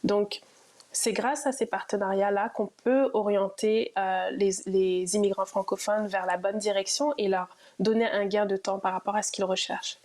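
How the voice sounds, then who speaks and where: A woman's voice: mid-range voice, level tone, moderate pace, a little mellow. A woman, herself an immigrant, answering interview questions about her program with frequent hesitations. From immigrated to Ontario. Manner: hesitant, many fillers.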